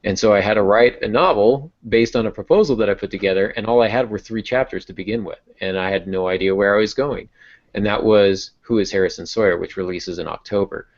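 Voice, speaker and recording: A man's voice: fairly low pitch, a little fast. A man chatting casually on a podcast as a novelist, telling anecdotes about writing in a conversational way. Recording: dull, band-limited audio.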